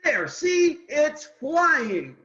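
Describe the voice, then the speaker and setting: A man reading a children's picture book aloud as an excitable, emphatic character who insists on being right. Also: very high-pitched, extremely slow, voice pushed up, full character voice. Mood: eager. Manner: emphatic, exclamatory. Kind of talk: animated children's read-aloud. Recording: dull, lo-fi video call audio.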